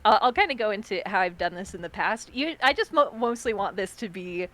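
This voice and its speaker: A young woman hosting a streamed collab in casual chat, loud and bubbly, laughing often and poking fun at herself. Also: medium pitch, expressive, fairly quick. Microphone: streaming mic over voice chat.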